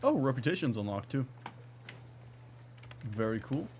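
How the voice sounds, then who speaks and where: A man's voice: medium pitch, sing-song, unhurried, darkish tone, slight background noise. A man giving casual live gameplay commentary as a streamer, musing aloud in a rambling way. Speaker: game streamer.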